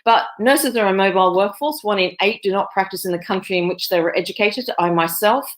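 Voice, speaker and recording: A woman's voice: medium pitch, a little fast. A woman, a nursing professor giving a conference presentation over a video call, with many fillers and restarts. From Australia. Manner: fillers and self-corrections.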